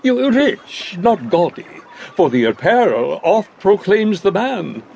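A fussy old counsellor in a Shakespearean audio play. His voice is on the high side and swoops widely. He rambles slowly and pompously, stopping and starting, over faint background noise. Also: sing-song, vocal fry, a little mellow, full character voice. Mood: fond, sententious. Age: older. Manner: pompous, halting, sententious advice-giving. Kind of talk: Shakespearean audio drama acting.

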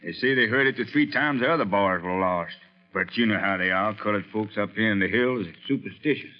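A muffled vintage radio recording of a man acting as a hill-country sheriff. He is low-pitched, earnest and plain-spoken, with folksy rural phrasing in classic radio-drama style. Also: a little fast, natural voice. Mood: earnest, matter-of-fact.

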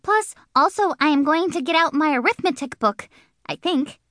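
A woman voicing a cheeky, chatty little schoolgirl in an audiobook, with a chirpy, drawn-out delivery. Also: high voice, expressive, fairly bright tone, dry recording, studio quality, pitched above the performer's own voice. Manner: sassy, chirpy, drawn-out words. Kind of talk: audiobook character reading.

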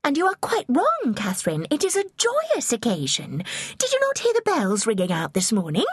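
Woman acting as a girlish princess, high swooping voice, impulsive, gushing, vivacious, historical audiobook character. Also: breathy edge, dry recording, studio quality, exaggerated voice. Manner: gushing, emphatic, rapid changes of feeling.

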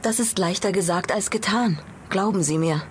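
A woman's voice: medium pitch, moderate pace, lightly acted. A woman playing a proud, gossipy and worried hotel owner in a German audio drama, effusive and deferential. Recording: ambient noise behind the voice.

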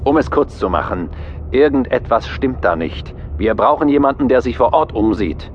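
A man playing a businesslike official who briefs a pilot over a video link in an audio drama, speaking in a brisk, matter-of-fact way. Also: medium pitch, expressive, muffled recording, a little hiss or hum, lightly acted. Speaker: planetary commission official.

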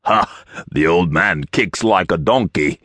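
A man's voice: low-pitched, slow, a bit bright, no echo, full character voice. A giant, demented henchman acted out by a male narrator for a thriller audiobook. His voice is a brutish growl. The sound is close and dry. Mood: gleeful. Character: huge brutish demented henchman, gleeful in pain.

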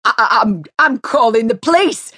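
Hoarse grumpy man, put on by a woman narrator, audiobook. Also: high voice, expressive, slow, a bit bright, voice pushed up, full character voice. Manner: barking, blustering. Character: hoarse, grumpy middle-aged male neighbour.

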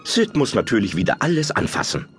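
Male narrator, lowish voice, extremely animated intonation, theatrical children's storytelling, music underneath. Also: brisk. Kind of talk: animated scripted narration for children's audio play.

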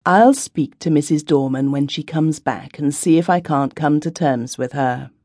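A woman reading aloud who voices an affectionate young husband, soothing and gently teasing his wife. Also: low voice, moderate pace, dry recording, studio quality. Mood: reassuring. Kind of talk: storybook reading.

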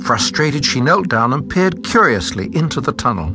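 A man narrating a children's story from a script, with expressive, suspenseful phrasing. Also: mid-range voice, normal speed, over music.

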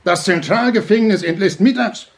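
A man's voice: high-pitched, creaky. An actor playing an unctuous, domineering fatherly head of a halfway house, preachy and pompous, in a German audio drama. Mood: unctuous, preaching. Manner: preachy, pompous, drawn-out emphatic phrasing.

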